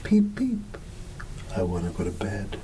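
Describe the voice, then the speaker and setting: A man voicing a tired, pleading little baby chick in a hushed storybook reading. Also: deep voice, a little slow, recorded from a distance, heavily acted. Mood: plaintive pleading. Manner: plaintive, peeping. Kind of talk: storybook character in ASMR reading. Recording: steady background ambience noise.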